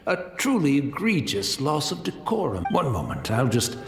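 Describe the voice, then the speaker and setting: An actor playing a frail, courteous old blind servant in a video game, rambling in formal, gentle phrases. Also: male voice, mid-range voice, huge pitch swings, normal speed, reverberant, over music. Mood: flustered, apologetic. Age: older. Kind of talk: video game character acting.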